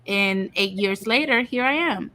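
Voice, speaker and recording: A woman's voice: mid-range voice, unhurried, bright, forceful tone. A woman from Puerto Rico in her thirties, hosting a casual livestream chat and talking frankly and directly to her viewers. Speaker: host of a livestream talk show.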